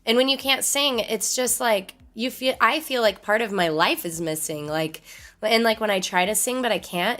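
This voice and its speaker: A woman musician with a hoarse voice from laryngitis, chatting casually and rambling as she answers her livestream viewers. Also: mid-range voice, fairly bright tone. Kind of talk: casual chat with stream viewers.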